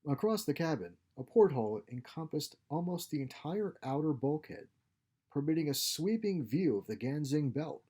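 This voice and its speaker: A man's voice: on the high side, very animated, moderate pace, dark tone, slightly rough. A man reading his own science fiction short story as scripted narration.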